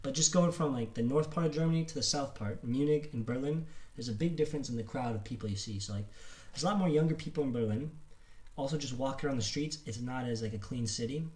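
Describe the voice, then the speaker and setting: A man's voice: medium pitch, fast talker, a little mellow. A man hosting a casual podcast conversation, talking informally and repeating himself, with "like" sprinkled through his sentences. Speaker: podcast host. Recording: roomy, echoing sound.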